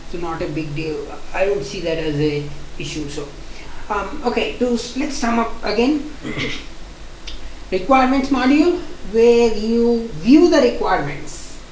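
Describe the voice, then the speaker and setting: A woman with an Indian accent teaching an online software testing course, explaining quickly and repeating points with frequent restarts. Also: medium pitch, expressive, a little hiss or hum. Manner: repetitive, restarts often, fast-paced explaining. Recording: echoey room.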